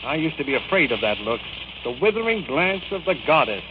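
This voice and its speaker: An actor playing a suave, roguish ex-husband who banters with wry mock politeness in a 1940s-style radio comedy. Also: male voice, a little high, sing-song, fairly quick. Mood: wry, needling. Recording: noisy, muffled vintage radio recording.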